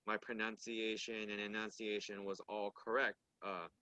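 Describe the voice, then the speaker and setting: A man's voice: fairly low pitch, level tone, pushed and bright, dry recording, poor audio. A man whose first language is Korean, speaking thoughtfully in a casual discussion and often restarting his phrases. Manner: measured, thoughtful, frequent restarts.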